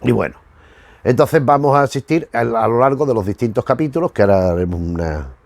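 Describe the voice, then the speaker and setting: A man's voice: medium pitch, expressive, a little fast, gravelly, no echo. A man from giving casual, rambling commentary as a host, often searching for words and rewording as he goes. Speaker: YouTube host reviewing retro cartoons.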